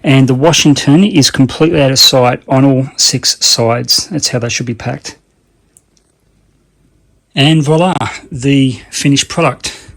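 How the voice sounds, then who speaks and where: A man's voice: mid-range voice, unhurried, creaky, long pauses, a bit bright. A man presenting a casual how-to demonstration in a rambling, colloquial way, often repeating himself for emphasis. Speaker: hobbyist video presenter.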